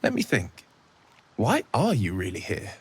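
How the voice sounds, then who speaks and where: An actor playing a gallant, earnest young warrior hero in a video game, courtly and gently teasing in his speech. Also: lowish voice, huge pitch swings, a little slow, slightly rough, heavily acted. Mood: playful, teasing. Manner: courtly, eloquent phrasing with soft hesitations. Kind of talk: video game dialogue acting.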